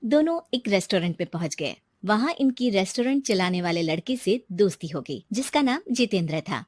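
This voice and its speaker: A woman's voice: mid-range voice, lively intonation, a little fast, darkish tone, dry recording. A woman narrating a scripted moral story in storybook style.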